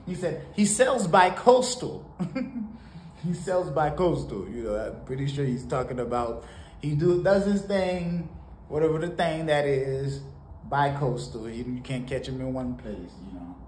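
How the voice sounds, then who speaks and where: Guy from haiti breaking down a rap verse, kinda high voice, lots of "you know", echoey little studio. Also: a little slow. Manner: animated, preachy, sprinkled with laughs and "you know". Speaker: music reaction host. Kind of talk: casual song reaction commentary. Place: small home studio room. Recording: roomy home studio with faint noise.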